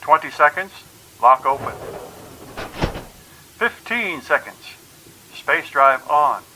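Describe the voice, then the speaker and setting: An actor playing an intense, official space traffic controller making drawn-out commanding calls in a radio play. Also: male voice, fairly high pitch, expressive. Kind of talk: radio play launch countdown announcing.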